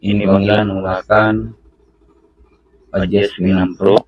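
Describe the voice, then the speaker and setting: Low-voiced man giving a tutorial. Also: completely flat delivery, unhurried, muffled recording, slight background noise. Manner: casual, instructional, addressing viewers as friends. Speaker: product reviewer. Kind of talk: hands-on tutorial narration.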